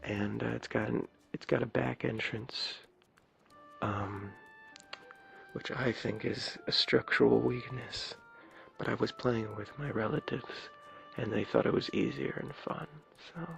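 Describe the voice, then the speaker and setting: A man with a low raspy voice giving an ASMR gameplay tour as a video creator, talking softly in a hesitant, rambling way. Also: steady tone, unhurried. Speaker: video creator showing his game builds. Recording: dull lo-fi mic, clear background music. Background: game music.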